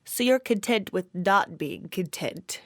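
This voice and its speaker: An animated female pony voiced by an actress with swooping intonation and a mid-range pitch. She is a caring, candid friend who encourages and nudges in conversational drama. The recording is dry. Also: measured pace, no echo, close to an everyday voice. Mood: caring, insistent. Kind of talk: conversational audio drama dialogue.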